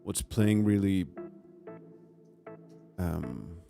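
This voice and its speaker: Hoarse male musician, gravelly low voice, halting casual stream chat with faint music underneath. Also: lively intonation. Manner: halting, thinking aloud with many pauses and fillers. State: hoarse, recovering from a cold. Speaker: musician livestreaming from his studio. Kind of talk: casual chat to a livestream audience. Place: music studio. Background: his own track playing quietly underneath.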